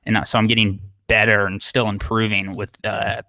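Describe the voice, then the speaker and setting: A man's voice: fairly low pitch, flat delivery, normal speed, creaky, bright, forceful tone, dry recording. A man talking casually as a podcast guest, self-deprecating, with lots of fillers and restarts. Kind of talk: casual interview chat.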